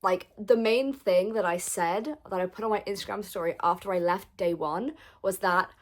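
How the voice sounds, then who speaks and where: A young woman talks in a chatty, gushing way, with her voice still rough and gravelly from a weekend of losing it. Her tone lifts into bursts of excitement as she talks casually to her viewers, at a middle pitch for a woman. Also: normal speed. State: hoarse, gravelly from lost voice. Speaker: vlogger. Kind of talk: casual vlog chat.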